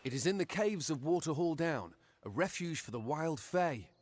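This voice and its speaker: A man's voice: a little high, lively intonation, normal speed, background noise, lightly acted. A man voicing a naive, boastful young man in a video game, speaking in an earnest, impulsive, self-important way. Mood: reluctant, sheepish. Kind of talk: video game character acting.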